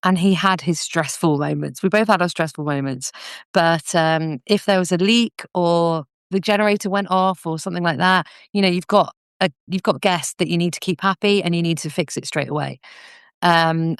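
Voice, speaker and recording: Female British podcast guest, casual storyteller, clean clear tone, close dry sound. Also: on the low side, fairly quick, no echo. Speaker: podcast guest, business owner.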